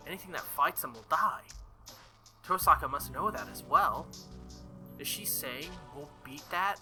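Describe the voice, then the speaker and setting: Earnest teenage boy hero, worried, hesitant, questioning, visual novel, a man reading plainly, bright voice. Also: fairly high pitch, expressive, a little slow, soft background music, heavily acted.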